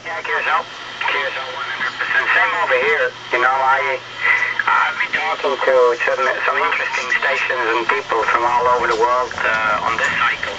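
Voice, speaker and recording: An older man chatting casually over a radio link as an amateur operator, halting and repeating his phrases. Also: medium pitch, level tone. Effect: radio transmission. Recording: muffled, very noisy shortwave radio reception.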